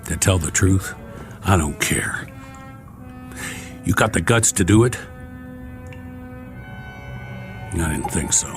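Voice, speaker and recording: An actor playing a weary, sardonic soldier in a radio drama, gruff but not cruel, with a wry, bossy, colloquial way of talking. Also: male voice, sits low, a little slow. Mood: firm, weary. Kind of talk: dramatic radio acting, often talking to himself. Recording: studio radio drama with music behind.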